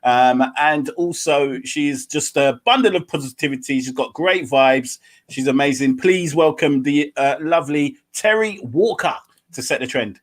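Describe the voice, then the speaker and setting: A man's voice: a little high, unhurried, hardly any pauses. A man co-hosting a podcast, introducing and questioning a guest enthusiastically, with many fillers. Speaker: podcast co-host. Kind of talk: casual interview.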